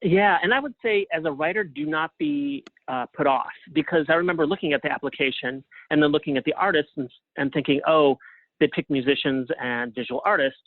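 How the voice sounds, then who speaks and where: A man giving casual interview answers as a guest over a phone line, in a halting way with frequent restarts. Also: a little high, no room sound. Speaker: guest, playwright and librettist. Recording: thin phone-line sound.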